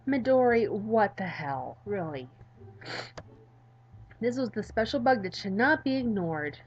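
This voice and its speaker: A woman voicing an exasperated adult male game developer in a visual novel, deadpan and condescending. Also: mid-range voice, unhurried, husky, muffled recording.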